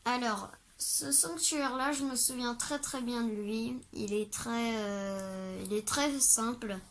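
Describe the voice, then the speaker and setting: Slow-talking woman with a cold. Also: a little high, a little hiss or hum. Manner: chatty, hesitant, many ums and restarts. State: has a cold. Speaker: let's play host. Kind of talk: casual gameplay commentary. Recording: game music loud behind the voice.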